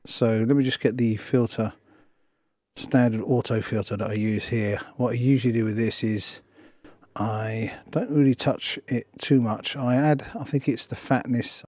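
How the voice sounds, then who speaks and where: A hoarse male music producer talking through a screen tutorial step by step, thinking aloud in short half-finished phrases. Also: medium pitch, fairly even intonation, normal speed, a little mellow. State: hoarse after a cold. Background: electronic dance music playing.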